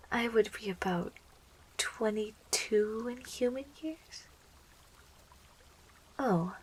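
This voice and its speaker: A woman voicing a young, possessive, lovestruck plant monster girl in an intimate, breathy, slow and coaxing ASMR roleplay, close to the microphone. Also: medium pitch, poor audio. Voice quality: breathy, whispery. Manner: slow, coaxing, teasing, giggly, doting.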